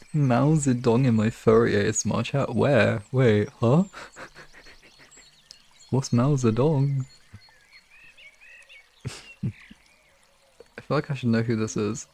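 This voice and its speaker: A young man chatting casually on a livestream in a rambling way, sometimes slipping into soft baby talk. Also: mid-range voice, extremely slow, vocal fry, pauses often. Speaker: live streamer.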